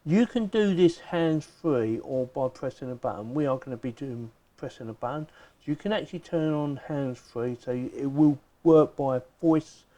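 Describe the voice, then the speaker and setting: A man's voice: mid-range voice, normal speed, mellow. A man giving a casual, chatty unboxing and product demonstration, thinking aloud informally as he goes. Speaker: product reviewer.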